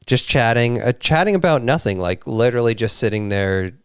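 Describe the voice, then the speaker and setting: A man's voice: mid-range voice, lively intonation, fairly quick, clear, resonant tone, no echo, studio quality. A man co-hosting a casual live stream, telling rambling stories. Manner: rambling storyteller. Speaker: co-host.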